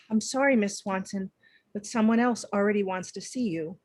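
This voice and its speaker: An actress plays a receptionist who is always overwhelmed, a woman with a husky, breathy voice of middling pitch. She sounds panicky and flustered, in a screen drama read-through. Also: measured pace, lightly acted, low-quality recording. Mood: flustered, apologetic.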